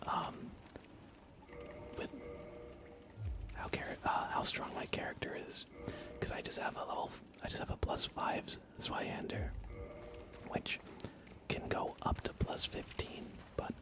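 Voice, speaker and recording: A man livestreaming a video game in a soothing, hushed ASMR style, commenting casually on his play. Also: measured pace, long pauses. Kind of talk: whispered ASMR gameplay commentary.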